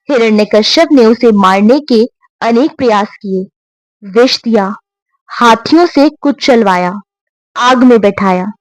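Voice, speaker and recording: Slow, high-voiced woman telling devotional tales. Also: dry recording, poor audio. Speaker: storyteller. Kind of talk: scripted devotional storytelling narration.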